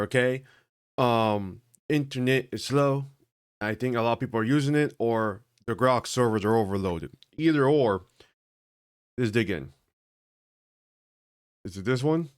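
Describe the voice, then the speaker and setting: A man hosting a tech video, chatting casually and thinking aloud as he tests a game, often halting and correcting himself. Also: medium pitch, smooth. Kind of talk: casual commentary while testing a game.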